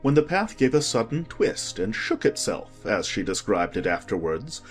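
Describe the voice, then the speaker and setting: Guy reading a kids audiobook, really lively expressive delivery, faint music behind. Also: fairly low pitch, normal speed, slightly rough. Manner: expressive storytelling. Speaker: audiobook narrator. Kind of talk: scripted children's story narration.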